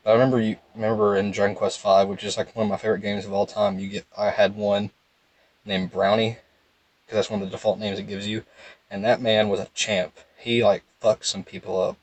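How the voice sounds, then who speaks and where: A young man with a calm, low voice, giving casual, rambling game commentary in a laid-back monotone. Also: brisk, no echo. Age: young adult. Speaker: gaming video host.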